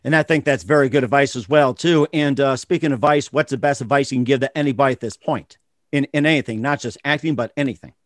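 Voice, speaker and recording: A man's voice: medium pitch, quick pace. A man hosting a radio interview in a casual, conversational style. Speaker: radio show host.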